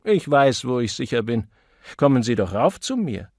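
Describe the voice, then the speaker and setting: A man's voice: medium pitch, sing-song, moderate pace, darkish tone, no echo, voice pushed down. A man voicing a cool, enigmatic man who teases in a dry, condescending way, as an audiobook character. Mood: mocking, aloof. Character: cool, mocking, enigmatic man toying with his listener.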